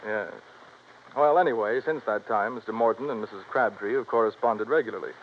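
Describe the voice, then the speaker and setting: A male actor playing an earnest, fussy insurance office man, brisk and mildly scolding, in 1950s radio drama style. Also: lowish voice, lightly acted. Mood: brisk briefing. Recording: dull vintage radio recording, faint noise.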